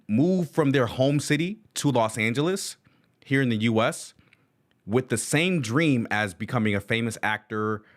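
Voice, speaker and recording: A man's voice: mid-range voice, a bit bright. A man hosting a live reaction stream, making casual, chatty comments.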